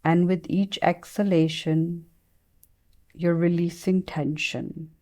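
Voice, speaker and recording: A woman leading a guided meditation in a soothing, gentle manner, with long unhurried pauses. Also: on the low side, flat delivery.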